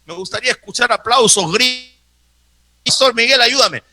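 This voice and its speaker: Male preacher, very high bright voice, emphatic sermon delivery that hammers repeated phrases. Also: moderate pace. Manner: acts out Bible dialogue. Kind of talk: sermon to a live congregation.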